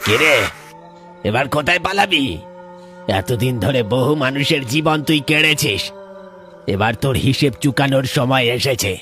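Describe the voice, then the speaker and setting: A man playing a stern, fearless village exorcist in a cartoon ghost story, giving emphatic orders in a husky, rough voice. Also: mid-range voice, expressive, unhurried, long pauses, sharp tone, faint music behind. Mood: threatening. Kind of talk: cartoon horror story voice acting.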